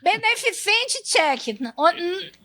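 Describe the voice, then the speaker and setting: A woman's voice: very high-pitched, big pitch swings, takes it slowly, bright, forceful tone, no room sound. A woman with an Amazonas accent hosting an interview, playful and teasing, cutting in often. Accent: Amazonas Brazilian Portuguese. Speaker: talk show host. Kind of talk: interview chat.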